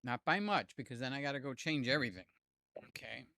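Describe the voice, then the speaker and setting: An older man hosting a live stream, chatting loosely with viewers, his voice slightly hoarse. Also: mid-range voice, animated, fast, pushed and bright, no echo, clean studio sound. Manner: rambling, jokey, talks to chat by name. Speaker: livestream host. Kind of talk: casual live chat with viewers. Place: home room with fans and air conditioner. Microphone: desk mic near the mouth.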